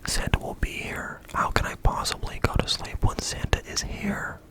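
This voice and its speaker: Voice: moderate pace, lightly acted. A whisperer doing a sleepless little boy. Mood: tearful. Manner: soft whisper. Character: sad, excited little boy on Christmas Eve.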